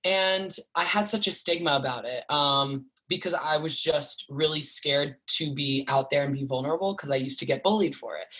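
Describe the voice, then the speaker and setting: Young guy in his 20s rambling about stage life, lots of likes and ums, kinda high natural voice. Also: normal speed, hardly any pauses, pushed and bright, poor audio. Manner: chatty, lots of likes and fillers. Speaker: guest, stage performer. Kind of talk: casual interview chat.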